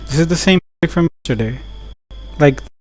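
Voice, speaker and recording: A woman with a very low voice comments casually as she plays a video game. Her remarks are brief and informal, in the manner of a gaming video creator. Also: lively intonation, quick pace, darkish tone, faint music behind. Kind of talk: casual reactions while playing a video game.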